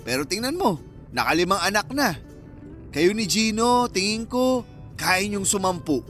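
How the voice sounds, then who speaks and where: A cocky, protective young Filipino man, played by an actor in a radio romance drama. He banters in a playful, boastful, teasing way over soft background music. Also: sits high, very animated, a little slow, heavily acted. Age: young adult.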